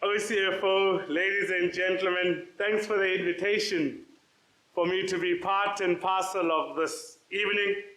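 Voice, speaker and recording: A man giving a loud, emphatic conference talk as a social investment practitioner. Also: sits high, fairly even intonation, unhurried, reverberant, poor audio. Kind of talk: conference keynote speech. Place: conference venue.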